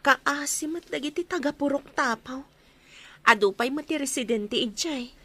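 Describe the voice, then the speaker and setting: A woman's voice: high-pitched, normal speed, close to an everyday voice. A woman playing an observant, chatty health worker in a radio drama, with a Filipino accent.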